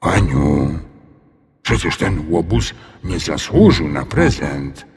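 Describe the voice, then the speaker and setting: A man voicing a puzzled, fair-minded dragon in a children's audio story, pondering in a measured way. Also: low-pitched, sing-song, vocal fry, darkish tone, slightly rough, long reverb tail, pitched below the performer's own voice, exaggerated voice. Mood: pondering, doubtful.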